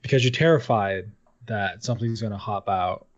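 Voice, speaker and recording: A man's voice: lowish voice, normal speed. A man co-hosting a podcast in a casual chat, talking informally and swearing freely. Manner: casual, profane. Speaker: podcast co-host. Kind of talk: casual chat about games. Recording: dull lo-fi audio.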